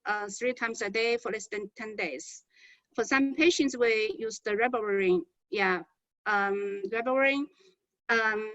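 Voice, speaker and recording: A woman physician from China with a Chinese accent, speaking halting non-native English with repeated words as she presents to colleagues on a medical conference call. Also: a little high, fairly bright tone, no room sound. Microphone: conference call connection. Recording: dull, band-limited conference call audio.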